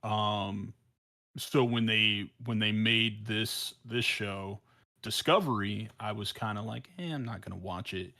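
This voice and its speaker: Male podcast panelist, lowish voice, halting and self-deprecating, casual fan chat. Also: sharp tone.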